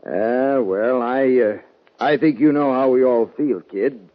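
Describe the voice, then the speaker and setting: An actor as a halting, sheepish sheriff. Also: male voice, medium pitch, lightly acted. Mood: sheepish, apologetic. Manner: halting, folksy, awkward. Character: sheepish, plain-spoken Old West sheriff. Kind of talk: 1950s radio western acting. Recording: muffled old radio recording.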